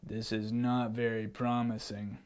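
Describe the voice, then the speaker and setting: A man voicing a stern male lion who teaches lessons, speaking low, slow and unimpressed. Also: flat delivery, a little mellow, clear, resonant tone, natural voice.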